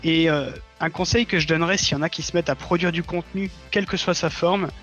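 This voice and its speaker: Guy explaining stuff earnestly on a stream, bright forward voice, a bit lo-fi, music under it. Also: a little high, fairly even intonation, fast talker. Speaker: interview guest, audio fiction author. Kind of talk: casual conversation on a livestream. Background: background music.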